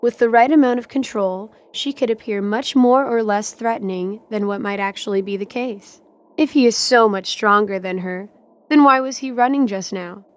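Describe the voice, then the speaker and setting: A woman's voice: mid-range voice, moderate pace, clear, resonant tone. A woman reading scripted dramatic narration as the narrator of an audio drama.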